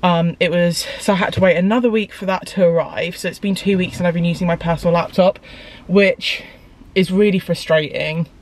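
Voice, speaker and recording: Croaky-voiced woman chatting to camera. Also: lowish voice, steady tone, brisk, hardly any pauses, slight background noise. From United Kingdom. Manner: chatty, rambling, frequent fillers. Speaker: vlogger. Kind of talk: casual vlog chat to camera. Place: parked car, then home hallway. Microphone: camera on dashboard, then handheld camera.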